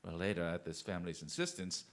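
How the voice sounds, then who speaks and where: An actor playing a brilliant, restless gentleman detective in a radio drama, with a grandly eloquent, quick-witted manner. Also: deep voice, sing-song. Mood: animated.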